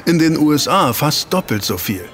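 A man's voice: fairly high pitch, big pitch swings, moderate pace, fairly bright tone. A man playing an eager researcher in a German audio drama, explaining to his team and teasing out reveals with rhetorical questions. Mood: explanatory.

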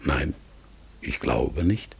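An actor playing a melancholy, pensive talking raven in a radio play, gravelly and husky, halting and searching as he speaks. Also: a bass voice, animated, full character voice. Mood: searching, wistful. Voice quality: gravelly, husky, breaking into whispers. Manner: slow, halting, searching, self-correcting. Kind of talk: German radio play acting.

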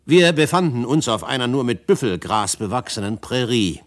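An actor playing a reflective frontier adventurer who narrates a western radio drama in a measured, pensive manner. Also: male voice, mid-range voice, very animated, lightly acted. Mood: narrating. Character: reflective male frontier adventurer telling his story. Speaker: first-person narrator.

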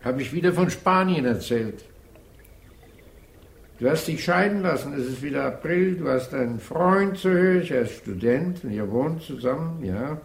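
A man's voice: on the high side, lively intonation, normal speed, gravelly. An actor in a radio drama playing an old man who talks in a reflective, detached, musing way. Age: older. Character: reflective old man in conversation with a young woman. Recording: roomy echo.

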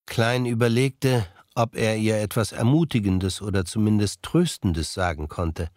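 A man narrating a scripted German radio drama in a measured, sober manner. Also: on the low side, a little mellow, no room sound, studio quality, natural voice.

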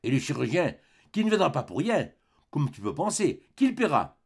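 A man's voice: fairly high pitch, animated, moderate pace, vocal fry, husky, full character voice. A man playing a poor, exasperated young peasant husband who rants querulously in an audiobook story. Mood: exasperated grumbling. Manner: querulous, ranting in rhetorical questions.

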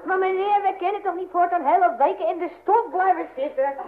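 An actress playing a chatty, cheeky housemaid in an old radio comedy, plain-spoken and colloquial. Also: female voice, very high-pitched, a little fast, a little hiss or hum. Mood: protesting. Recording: dull vintage radio recording.

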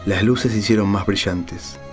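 A male narrator with a fairly deep voice reads dramatic literary fiction. His delivery is scripted storytelling, and music plays underneath. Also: steady tone, normal speed.

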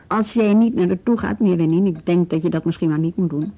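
A reassuring policewoman, radio play, mellow gravelly voice, measured and practical. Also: on the low side, muffled recording. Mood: gently cautioning. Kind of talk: naturalistic radio drama dialogue.

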